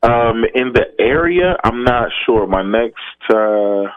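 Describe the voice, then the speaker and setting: A man's voice: mid-range voice, lively intonation, slow, dry recording. A man calling in by phone as a comedian guest, talking casually with lots of ums and uhs. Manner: rambling, filler-heavy, easygoing. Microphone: telephone. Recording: tinny phone line.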